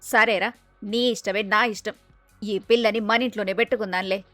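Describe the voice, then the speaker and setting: A woman acts out a middle-aged mother from a family moral story. She is boastful and doting yet bossy, scolding in exclamations. Her mid-pitched voice is dry and close over faint music. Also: fairly even intonation, fairly quick, pushed and bright, no room sound, close to an everyday voice. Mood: proud, fond. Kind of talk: dramatised moral story dialogue.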